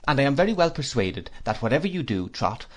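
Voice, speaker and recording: A man voicing a brisk, strong-willed elderly aunt in an audiobook, speaking decisively and with emphasis. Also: medium pitch, very animated, voice pushed up, close to an everyday voice. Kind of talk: audiobook character reading.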